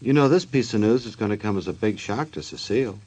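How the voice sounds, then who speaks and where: A man's voice: on the low side, expressive, quick pace, loud music behind the voice, lightly acted. An actor playing a sardonic, wisecracking male narrator in a 1940s radio show. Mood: mocking.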